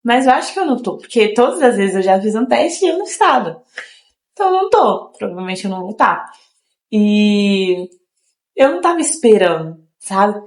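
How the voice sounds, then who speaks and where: A woman's voice: mid-range voice, sing-song, normal speed. A young woman from Brazil chatting casually to her viewers, a little nasal from a cold and often repeating herself. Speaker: vlogger. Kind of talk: casual chat to camera. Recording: echoey room with faint guitar music.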